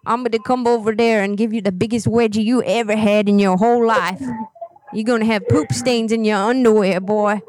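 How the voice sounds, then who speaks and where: A woman's voice: fairly high pitch, fairly even intonation, a little fast, a little mellow, no echo, lightly acted. A woman voicing a foul-mouthed, angry old woman prank caller who rambles threats and curses. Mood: furious. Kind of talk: prank phone call.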